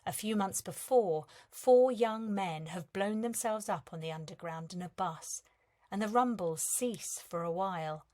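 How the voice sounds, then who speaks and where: A woman in her thirties reading a reflective piece of prose in a measured, calm way, softening at the ends of lines. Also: mid-range voice. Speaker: reader of a competition entry.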